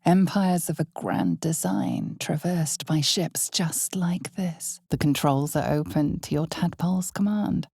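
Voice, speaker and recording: A woman playing an ominous, knowing narrator of a dark fantasy game, speaking in a measured, theatrical way that turns hushed and breathy at times. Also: low voice, lively intonation, no echo, clean studio sound, full character voice. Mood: measured, intrigued.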